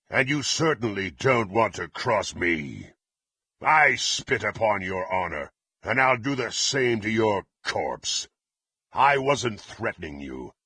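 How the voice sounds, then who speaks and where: Gravelly, menacing brute warrior. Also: male voice, mid-range voice, pushed and bright, no room sound, full character voice. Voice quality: gravelly, raspy. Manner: threatening, imperious, archaic formal diction. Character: brutal, menacing half-orc warrior serving a demonic patron. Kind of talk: fantasy video game character acting.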